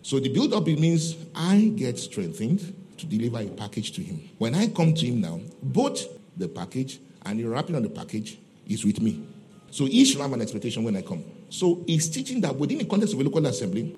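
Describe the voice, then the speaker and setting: A man preaches with a Nigerian accent in a mellow, mid-pitched voice that rises and falls freely. He teaches emphatically, repeating key phrases and posing questions that he answers himself. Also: a little hiss or hum. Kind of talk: teaching sermon with scripture readings and questions to listeners.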